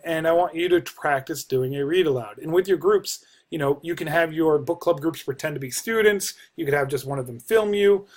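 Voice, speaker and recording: An American man in his forties with a slightly high voice talks as a university instructor. He gives an informal course overview, pausing to think as he explains. It is recorded on a computer microphone in a small office. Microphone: computer microphone at desk distance.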